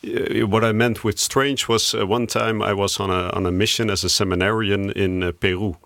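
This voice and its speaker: Male interview host, Dutch accent, low register with vocal fry, dry sound, conversational. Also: little pitch movement, normal speed, fairly bright tone, no echo. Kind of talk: casual conversational interview, translating for listeners.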